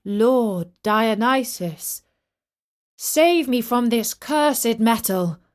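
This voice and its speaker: A woman's voice: fairly high pitch, animated, extremely slow. A woman voicing a foolish, gold-greedy king in a dramatic, emphatic storybook reading. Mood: pleading. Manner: theatrical, emphatic. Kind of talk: children's storybook character reading.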